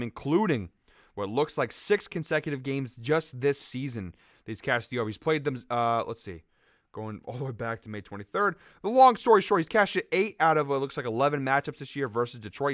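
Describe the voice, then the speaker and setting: A man hosting a sports betting picks show, talking quickly and casually through stats with a slightly raspy voice. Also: mid-range voice, a bit bright, no room sound, clean studio sound. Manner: quick, upbeat, rattles off stats with fillers. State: slightly raspy from a late night out. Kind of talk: fast casual betting-tips monologue.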